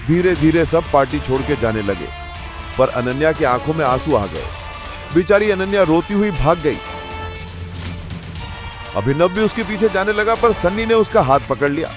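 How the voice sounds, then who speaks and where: A man's voice: fairly high pitch, animated, moderate pace, long pauses, over music. A man narrating a scripted audio drama in a dramatic storytelling style.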